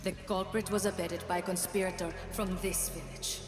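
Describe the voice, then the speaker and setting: Woman acting a cold, low-voiced military commander. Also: flat delivery, music in the background, lightly acted. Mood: cold, threatening. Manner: formal, measured, authoritative. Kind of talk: video game cutscene acting.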